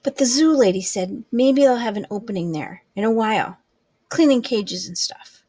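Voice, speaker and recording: A woman voicing an earnest, tender-hearted young girl in a read-aloud storybook, with a pleading, animated manner. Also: a little high, moderate pace, breathy edge, dry recording. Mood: sad, hopeful.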